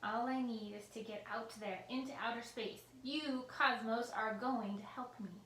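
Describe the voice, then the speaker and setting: A woman voicing a furious, scheming male villain scientist who yells and cackles at a computer. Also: fairly high pitch, moderate pace, slight background noise, natural voice. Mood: gloating. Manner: yelling, cackling, turns syrupy. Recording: roomy echo with faint ambience.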